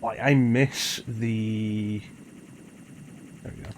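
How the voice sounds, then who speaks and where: A man's voice: fairly low pitch, fairly even intonation, very slow, a little mellow, slight background noise. Rambling man casually commentating on a game. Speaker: streamer and game player. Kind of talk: casual live gameplay commentary.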